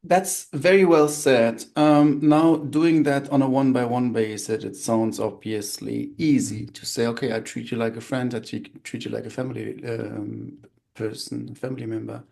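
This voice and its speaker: A man's voice: medium pitch, steady tone, normal speed, gravelly, darkish tone. A man hosting a podcast interview in an upbeat way, asking long, winding questions. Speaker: podcast host.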